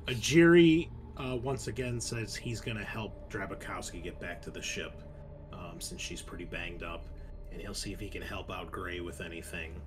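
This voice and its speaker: A male game master lays out the scene for his players in a halting, explanatory way. His voice is slightly deep, with faint music behind it. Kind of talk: narrating a tabletop roleplaying game.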